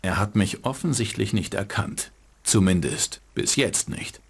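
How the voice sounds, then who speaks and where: An actor playing a cynical, hard-boiled private detective in a dubbed game, with a dry, sardonic delivery. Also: male voice, lowish voice, measured pace, gravelly, slightly rough, heavily acted. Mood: dry, wry. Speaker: narrating game protagonist.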